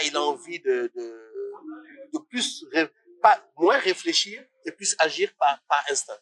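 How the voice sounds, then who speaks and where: A man's voice: on the high side, fairly bright tone, low-quality recording. A man from Congo, a musician talking as a guest in a casual interview, passionate and rising to indignant questions.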